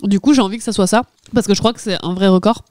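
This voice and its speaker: A woman's voice: mid-range voice, quick pace, a little mellow, no echo, studio quality. A young woman co-hosting a casual podcast, chatty and laughing a lot between her words. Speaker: podcast co-host. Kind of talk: casual chat among friends.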